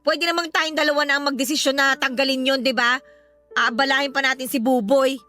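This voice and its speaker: A woman's voice: high-pitched, fairly even intonation, fairly quick, bright, forceful tone. An actress with a Filipino accent playing a bitter, resentful woman who needles her housemate with sarcasm in a radio drama. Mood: cutting, hostile. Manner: sarcastic, confrontational. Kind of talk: Tagalog radio drama acting. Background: background music.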